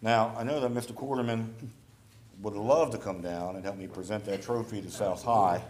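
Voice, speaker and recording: A man acting as mayor, chairing a city council meeting in a council chamber, brisk and plain-spoken with frequent fillers. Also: on the low side, fairly even intonation, a little mellow, a little hiss or hum. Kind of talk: formal meeting chair with announcements.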